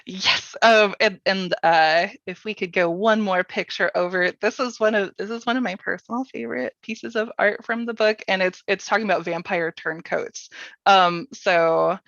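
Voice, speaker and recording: A woman chatting casually as a workshop panelist about storytelling, in halting phrases peppered with "like". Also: medium pitch, steady tone, gravelly, a bit bright. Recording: roomy echo, slightly dull sound.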